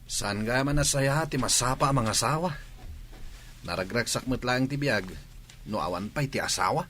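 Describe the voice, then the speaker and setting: A man's voice: medium pitch, normal speed, fairly bright tone, slight background noise, lightly acted. A man playing a worn-out married friend who grumbles in a comic drama. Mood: wry complaint.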